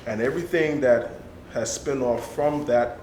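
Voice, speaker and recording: A man's voice: measured pace, a little mellow. A man speaking earnestly on a panel, building emphasis through repetition. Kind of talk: discussion. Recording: echoey room with low rumble.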